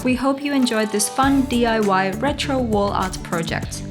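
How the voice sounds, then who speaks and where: A woman's voice: a little high, normal speed. A woman narrating a scripted craft tutorial as its host, giving step-by-step instructions. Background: music.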